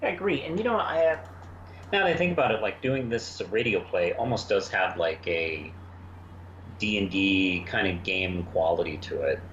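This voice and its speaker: A man talking casually as a podcast co-host in a review discussion, halting and thoughtful. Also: low voice, lively intonation, a bit bright. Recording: dull lo-fi sound, roomy echo, noise.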